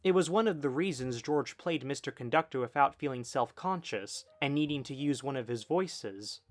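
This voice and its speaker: A man's voice: mid-range voice, expressive, moderate pace. A man reading scripted documentary narration as a video essay narrator. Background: background music.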